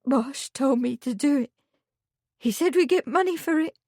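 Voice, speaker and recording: A woman's voice: high-pitched, normal speed, a little mellow, no echo, studio quality, pitched above the performer's own voice. A woman voicing a frightened young housemaid who talks in rustic dialect and pleads through tears. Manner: rustic dialect, sobbing pleas.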